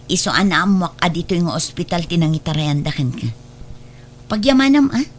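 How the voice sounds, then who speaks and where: A woman's voice: fairly low pitch, very animated, a little fast. A woman acting a wronged, protective middle-aged mother in an Ilocano radio drama, speaking earnestly. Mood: stern concern. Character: wronged protective mother, firm, hurt and resolute. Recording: slightly noisy radio drama track.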